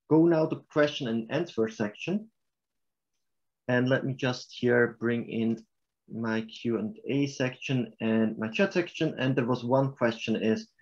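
Swiss German male software presenter, mid-pitched, restarting often, dull web call audio. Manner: explanatory, halting with restarts. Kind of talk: webinar presentation and Q&A.

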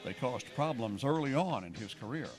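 A man's voice: medium pitch, animated, normal speed, music in the background. A man reading scripted narration for a television news feature as the reporter.

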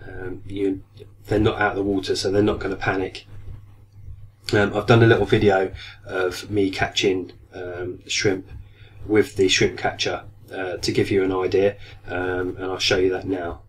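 Low flat-voiced man with a cold. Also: normal speed. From United Kingdom. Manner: hesitant, many ums and restarts. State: getting a cold, voice going slightly. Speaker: aquarium hobby vlogger. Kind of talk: casual product review. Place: small room indoors at a desk. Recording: distant mic, faint room noise.

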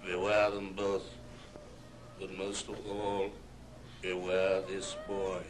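An actor playing an aged, solemn, foreboding spirit with grave, declamatory delivery in an old radio drama. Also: male voice, deep voice, monotone, takes it slowly, noisy background. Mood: stern warning.

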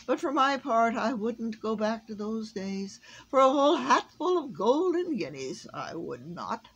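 A woman's voice: a little high, sing-song, measured pace, husky, voice pushed up, full character voice, poor audio. A woman voicing an educated, pompous male insect professor in a storybook, with a dry, wordy delivery. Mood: pompous reminiscing.

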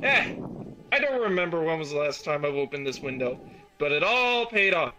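High-voiced man reading a comic aloud. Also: big pitch swings, normal speed, over loud music, low-quality recording. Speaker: comic reader. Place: online game voice chat.

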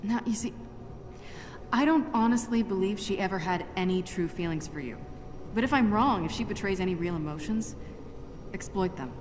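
An actress playing a seasoned spy in a video game, cool, blunt and commanding, with terse delivery. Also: female voice, mid-range voice, animated, moderate pace, slight background noise, lightly acted. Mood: firm, blunt. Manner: terse, no-nonsense. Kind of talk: video game cutscene acting.

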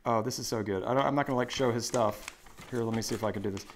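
Relaxed male co-host, lapel mic in a home shop, chatty with restarts. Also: mid-range voice, rapid-fire, slight background noise. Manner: chatty and explanatory, with restarts and laughs. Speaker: co-host of a woodworking live show. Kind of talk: casual live-stream chat. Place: home woodworking shop, indoors.

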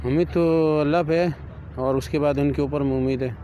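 A man with a mellow, dark-toned voice answers a street reporter as a cricket fan. He gives short, plainspoken replies into a mic held out to him, with faint outdoor noise behind. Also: on the high side, steady tone, brisk. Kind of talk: vox pop answer. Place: outdoors by a stadium. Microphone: reporter's handheld mic held to him.

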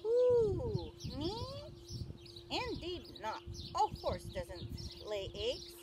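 Woman as a haughty, high-pitched indignant owl. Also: wildly swooping pitch, extremely slow, recorded from a distance, heavily acted. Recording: outdoor recording with clear birdsong noise.

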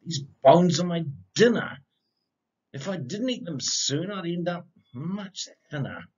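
Polite storybook dog, read by a man, lively pitch. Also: unhurried, breathy edge, highs cut off, full character voice. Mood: hungry, bargaining. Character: polite, hungry, shrewd talking dog. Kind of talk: children's storybook read-aloud.

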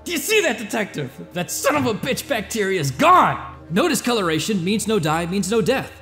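A man's voice: sits high, sing-song, normal speed, sharp tone, soft background music, exaggerated voice. An actor playing an eccentric, boastful inventor in his thirties, glib and theatrical, in an audio drama. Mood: excited, boastful. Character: eccentric thirty-five-year-old male inventor, mad scientist, boastful.

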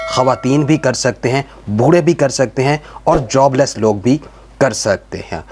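A man with a Pakistani accent presenting a scripted explainer voice-over, instructing viewers directly and repeating his phrasing. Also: mid-range voice. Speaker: video presenter.

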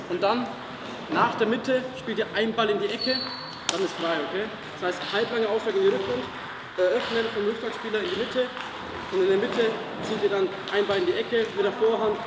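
A young man with a fairly high voice explains drills to players in a big sports hall. He is recorded from some way off, so the voice rings with reverb over loud background noise. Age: young adult. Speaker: table tennis trainer and channel host. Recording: roomy hall audio from a distance.

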